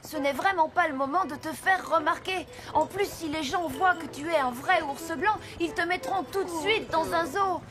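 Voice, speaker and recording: An earnest young cartoon boy voiced by a woman, high-pitched and brave. He speaks in a firm, admonishing way, with music clearly playing behind him. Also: normal speed, few pauses. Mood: bossy, cautious. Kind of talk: animated cartoon acting.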